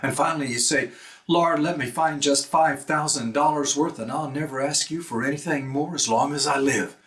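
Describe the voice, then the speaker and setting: An actor playing a seasoned, world-weary gold prospector in an emphatic, cautionary stage monologue. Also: male voice, on the high side, normal speed, fairly bright tone, close to an everyday voice. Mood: knowing warning. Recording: echoey room.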